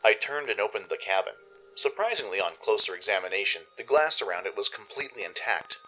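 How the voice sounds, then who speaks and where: A man reading scripted first-person story narration in an expressive, dramatic way, as the narrator of a radio play. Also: fairly low pitch, fast, a little hiss or hum, low-quality recording.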